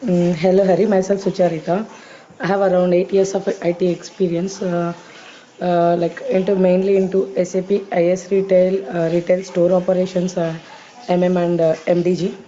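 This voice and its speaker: A woman introduces herself on a class call. Her voice is very dark and mellow, lowish and flat, and she pauses often on fillers. The call audio is dull. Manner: filler-heavy, measured. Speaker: SAP consultant, student. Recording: muffled video call audio.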